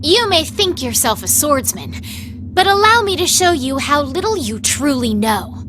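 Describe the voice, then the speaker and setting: A woman's voice: high-pitched, big pitch swings, moderate pace, background noise. An actress playing a proud, hot-tempered young female knight in a video game, imperious and scolding.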